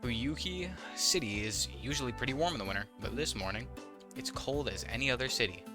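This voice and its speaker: A man's voice: medium pitch, animated, a little fast, music in the background. A man reading visual novel text aloud with casual, wry commentary, sometimes stumbling over the words. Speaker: gaming YouTuber, host.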